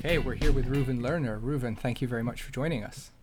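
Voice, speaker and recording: A man's voice: medium pitch, quick pace, over music. Man hosting a conversational interview podcast.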